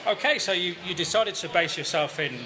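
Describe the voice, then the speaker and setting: High-voiced man interviewing in a noisy venue. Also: fairly quick. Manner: polite, brief, lots of short acknowledgements. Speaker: radio interviewer. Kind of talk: casual interview chat. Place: backstage at a music venue.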